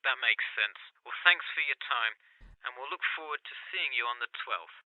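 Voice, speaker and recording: A man's voice: medium pitch, expressive, moderate pace, no echo. A man playing a polite, businesslike employer in a scripted phone interview, heard through a telephone filter. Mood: friendly, businesslike. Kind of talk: scripted listening-test dialogue. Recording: thin, narrow telephone line.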